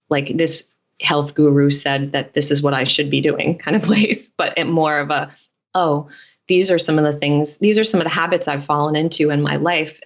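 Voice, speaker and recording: A woman's voice: sits low, highs cut off. A woman speaking as a podcast guest in a conversational interview, explaining things in a reflective way. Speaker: podcast guest, dietitian and author.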